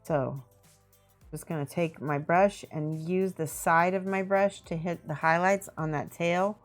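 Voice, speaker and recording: A woman's voice: lowish voice, measured pace, soft background music. A woman with a Midwestern accent, chatting casually on a live stream while she paints miniatures, talking her steps through aloud. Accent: Midwestern American. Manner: relaxed, thinking aloud, greeting chat viewers.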